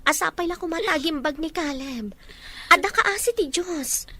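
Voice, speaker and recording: A woman's voice: very high voice, moderate pace, bright, forceful tone. A woman playing a supportive, encouraging young best friend in an Ilocano audio drama. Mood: encouraging, consoling.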